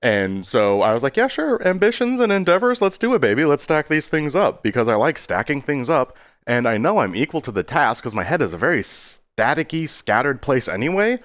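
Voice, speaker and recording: A man's voice: mid-range voice, big pitch swings, brisk, hardly any pauses, fairly bright tone, no echo. A man giving a casual, rambling video update in a self-deprecating way, stopping and starting and slipping into exaggerated tones.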